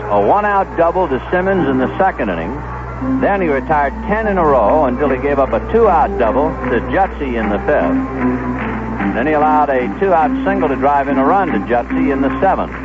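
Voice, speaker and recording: A man doing 1970s radio baseball play-by-play, measured and descriptive, telling small stories between pitches. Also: animated, music in the background. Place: stadium broadcast booth. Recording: dull lo-fi vintage AM radio broadcast.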